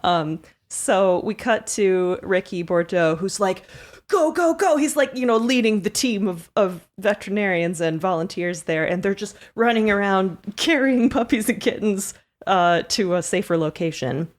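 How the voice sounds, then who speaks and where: A woman playing a tabletop game, improvising narration in a casual, animated storytelling manner. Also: medium pitch, normal speed. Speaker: player and performer in a tabletop RPG.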